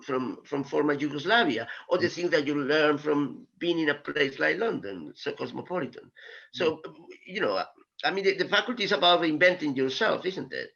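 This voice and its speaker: A man's voice: on the high side, creaky, sharp tone, muffled recording. A man in a casual conversation, speaking hesitantly while searching for words. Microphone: video call.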